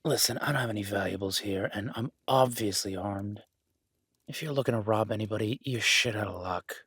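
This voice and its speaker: A man playing an irritated, suspicious, armed cabin dweller in a horror audio roleplay, speaking in a gruff, hurried, swearing manner. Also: lowish voice, a bit bright, no echo, studio quality. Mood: angry, wary.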